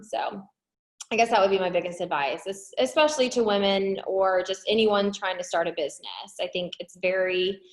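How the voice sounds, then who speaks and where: A woman from the United States answering as an interview guest in a candid, earnest, casual conversational way. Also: medium pitch. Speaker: interview guest, wedding planner.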